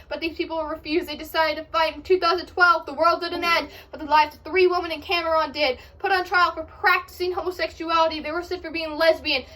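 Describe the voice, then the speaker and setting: Very high, fast teen poet. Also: female voice, pushed and bright. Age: teenager, about 14. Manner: impassioned, emphatic, declamatory. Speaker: amateur slam poet. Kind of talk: slam poetry recital, rhythmic and rhyming.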